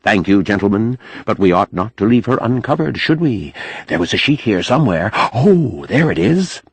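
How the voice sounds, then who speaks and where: A man's voice: fairly low pitch, very animated, normal speed, slightly rough, no room sound, exaggerated voice. A man voicing a stage magician with mildly puzzled, offhand showman's patter in an audiobook. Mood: coaxing showman. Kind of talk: audiobook character acting.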